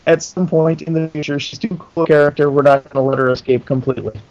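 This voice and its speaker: Guy guest on a podcast call, kinda high voice, muffled lofi audio, explaining stuff casually. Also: fairly even intonation, quick pace, darkish tone. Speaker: interview guest, pinball game maker. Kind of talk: casual interview chat. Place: remote internet call. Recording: dull lo-fi internet call.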